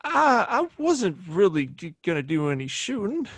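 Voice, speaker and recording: A man's voice: sits high, sing-song, moderate pace, a bit bright, full character voice. An American man acting a cocky, hot-tempered gunslinging bully in a western audio play, with a blustering, folksy way of talking. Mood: cowed. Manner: blustering, folksy drawling insults.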